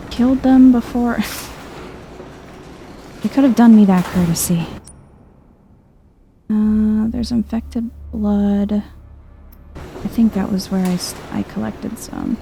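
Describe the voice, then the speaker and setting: Casting: woman, soft-spoken game streamer, mellow with vocal fry, low-key and wry, some background noise. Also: takes it slowly, long pauses. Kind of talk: soft-spoken ASMR let's play commentary.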